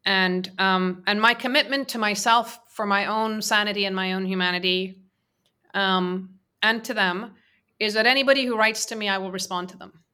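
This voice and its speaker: A woman's voice: medium pitch, pushed and bright, smooth. A woman whose first language is Persian, answering interview questions earnestly, with many fillers and restarts. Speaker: interviewee, women's peace advocate.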